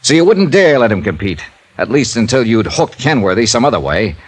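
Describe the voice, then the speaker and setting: An actor playing a tough, blunt, sardonic insurance investigator in an old-time radio drama. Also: male voice, mid-range voice, big pitch swings, brisk. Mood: accusing.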